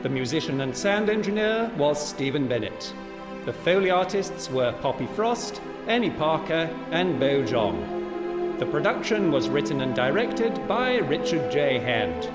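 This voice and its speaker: A man's voice: a little high, sing-song, a little slow. A man reading formal scripted closing credits as the announcer of a live radio play. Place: live in an echoing anatomy theatre. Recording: reverberant hall, music behind.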